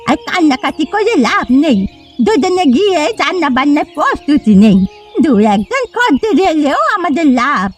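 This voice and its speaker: A high, thin-pitched old woman played by a woman from a cartoon fairy tale. She is poor and deferential, speaking plaintively over faint music. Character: poor, worried, grateful old woman running an eatery.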